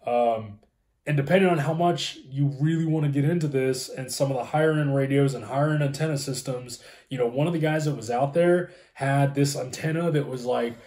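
A man in his thirties talks in a conversational, informative way, like a co-host walking viewers through a subject. His voice is warm and relaxed at a middle pitch, with clear room echo around it. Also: a little fast. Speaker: co-host explaining ham radio. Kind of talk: casual explainer chat. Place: small room at home, indoors. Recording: roomy, echoey home room.

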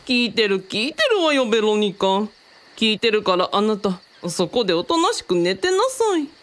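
A woman's voice: mid-range voice, sing-song, moderate pace, close to an everyday voice. A woman playing a self-assured, worldly female mentor who talks in a teasing, feminine way in a radio drama. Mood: matter-of-fact.

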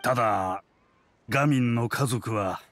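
A man voicing a kindly, considerate middle-aged uncle who runs a teahouse, speaking in a measured way in an anime game. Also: mid-range voice, a bit bright.

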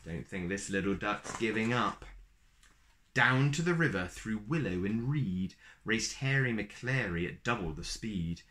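A man in his thirties reading a picture book aloud to young children in an expressive, deliberate storytelling manner. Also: fairly low pitch. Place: small plain room indoors.

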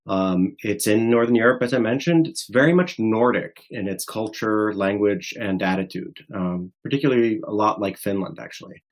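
A man with an American accent giving a polished, explanatory webinar presentation as a trade official. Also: fairly low pitch. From Estonia and the United States. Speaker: government trade director, guest speaker.

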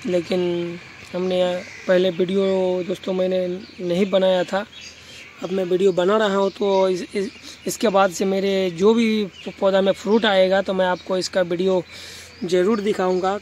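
A man's voice: high-pitched, a little hiss or hum. A man from Bengal with a Bengali accent, a native Bengali speaker, narrating an informal gardening tour and often repeating himself as he addresses viewers. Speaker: gardening vlogger.

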